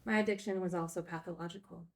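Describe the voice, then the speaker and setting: A woman's voice: on the low side, fairly even intonation, fast, close to an everyday voice. Woman playing Frankie, a guarded, sardonic teacher. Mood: confessional, pained. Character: Frankie, guarded, sardonic recovering-addict group home teacher. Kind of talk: dramatic film script table read.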